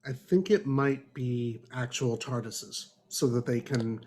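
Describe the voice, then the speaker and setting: Man chatting casually, mid-pitched voice. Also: steady tone, takes it slowly, darkish tone. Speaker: book club podcast panelist. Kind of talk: casual book discussion.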